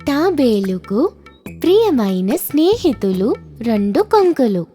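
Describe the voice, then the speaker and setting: Slow singsong woman storyteller. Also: fairly high pitch, big pitch swings, dark tone, faint music behind. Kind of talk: children's cartoon narration.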